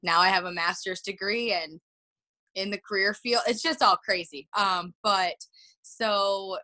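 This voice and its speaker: A woman's voice: medium pitch, fairly even intonation, sharp tone. A woman in her twenties from Illinois, a chatty, giggly guest rambling happily in a casual podcast interview. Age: 20s. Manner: chatty, giggly, talks in quick rambling bursts.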